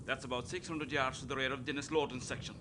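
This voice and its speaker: A man's voice: steady tone, fast, bright, forceful tone, lightly acted. A man with an Irish accent playing a loyal, resolute rebel volunteer in a radio play, earnest and plain-spoken. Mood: steady. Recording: noisy, low rumble behind.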